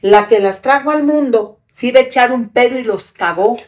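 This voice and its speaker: A woman's voice: fairly high pitch, moderate pace. A woman in her eighties talking as a podcast guest, ranting in a fiery, indignant and profane way, close to a studio microphone. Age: 80s. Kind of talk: heated interview talk. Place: small studio with brick wall and sofa. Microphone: podcast mic on a stand in front.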